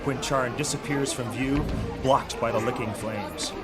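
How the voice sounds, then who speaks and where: A man narrating a high fantasy audio drama with dramatic storytelling delivery. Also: measured pace, loud music behind the voice, close to an everyday voice. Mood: dramatic, grave. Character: male narrator of dark high fantasy adventure.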